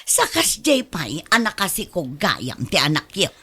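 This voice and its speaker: A woman's voice: lowish voice, wildly swooping pitch, unhurried, pushed and bright, husky, heavily acted. A woman acting a proud, outspoken middle-aged mother in a radio drama, emphatic and melodramatic, with an Ilocano accent. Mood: chiding.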